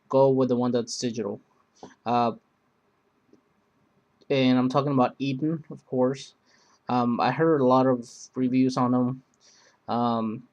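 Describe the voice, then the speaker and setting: A man hosting a casual, unscripted product review, speaking in a halting, rambling way that often circles back on itself. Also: medium pitch, darkish tone, muffled recording. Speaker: video host reviewing gear.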